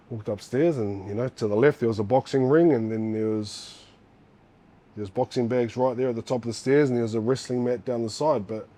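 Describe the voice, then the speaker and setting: A man's voice: mid-range voice, warm tone. A man in his forties with a New Zealand accent, telling his story in a casual interview, rambling with fillers and restarts. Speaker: guest, former fighter.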